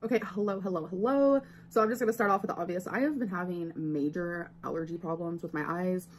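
A woman's voice: fairly low pitch, fast. A young woman talking casually to camera at home, rambling with many fillers. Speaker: vlogger. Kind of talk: casual vlog talk.

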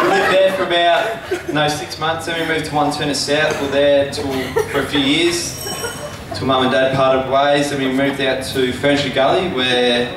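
A young man giving a joking, offhand best man speech from notes into a handheld mic at a wedding. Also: a little high. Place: large hall at a wedding reception. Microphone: handheld mic through speakers. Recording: echoey hall with crowd noise, from far off. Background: laughing guests.

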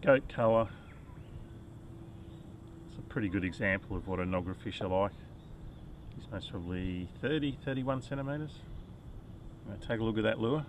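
A middle-aged Australian man narrating a casual fishing vlog from a kayak, relaxed and chatty, recorded on a head-mounted action camera outdoors. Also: fairly low pitch, moderate pace, long pauses, noisy background. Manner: relaxed, chatty, muttering to the fish while fighting it. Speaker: fishing vlogger.

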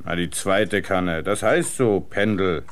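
Gruff butcher in a radio crime drama, grumbly and plain spoken, lowish voice. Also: male voice, slow. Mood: grumbling. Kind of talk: German radio crime play acting.

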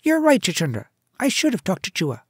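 Narrator doing a feisty mongoose hero from a kids story, high voice, bit raspy, bossy n scornful. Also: huge pitch swings, dry recording, clean studio sound. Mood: alert. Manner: brisk, commanding, scornful. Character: bold, scornful young male mongoose, snake killer.

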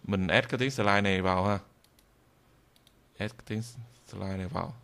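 A man narrating an informal software tutorial in a halting way, with frequent drawn-out hesitations. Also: deep voice, vocal fry, clear, resonant tone. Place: indoors at a computer, screen recording voice-over.

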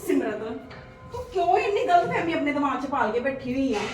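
A woman's voice: high voice, sing-song, a little fast, roomy sound, far from the mic, soft background music. A woman playing a patient, soothing sister-in-law. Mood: coaxing. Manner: gentle, placating, reasoning. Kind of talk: family drama skit.

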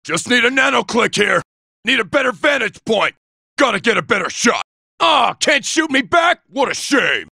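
Gravelly, shouting robot soldier. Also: male voice, extremely high pitch, big pitch swings, normal speed, no room sound, studio quality, heavily acted. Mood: smug. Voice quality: gravelly, forceful. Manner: shouted, taunting, menacing. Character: cocky, aggressive male robot soldier, a taunting rocket sniper. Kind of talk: video game combat barks.

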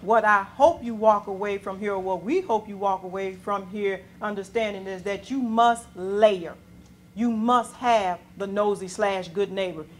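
A woman's voice: medium pitch, a little slow. A middle-aged woman police officer giving an emphatic presentation to a community meeting in a hall. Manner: emphatic, repeats key points. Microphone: handheld microphone.

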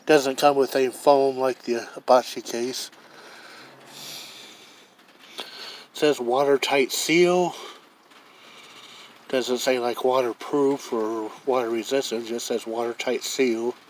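A man's voice: mid-range voice, fairly even intonation, slow pace, pauses often, slight background noise, low-quality recording. A man casually reviewing gear outdoors on a deck, talking in a rambling, plainspoken way as he films with a handheld camera. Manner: rambling, plainspoken, thinks aloud.

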